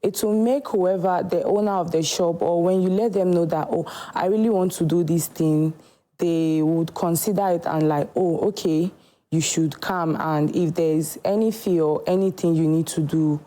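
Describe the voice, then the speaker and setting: Woman from Nigeria, low pitch, mellow tone, earnest, unhurried, interview guest. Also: steady tone. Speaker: shoemaker guest. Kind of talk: TV studio interview.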